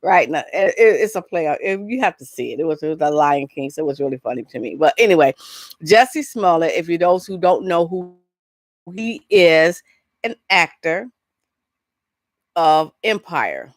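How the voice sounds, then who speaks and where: A woman hosting a casual entertainment vlog, talking in a rambling, halting, informal way. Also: sits low, lively intonation, no echo, clean studio sound. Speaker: vlog host. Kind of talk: casual chat, entertainment gossip.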